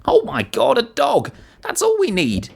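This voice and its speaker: Man putting on an exasperated, high-pitched complaining mother. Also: wildly swooping pitch, a little slow, gravelly, a little mellow, breathy edge, heavily acted. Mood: despairing. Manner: exclaiming, exasperated. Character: complaining, dramatic mother, easily disgusted and despairing.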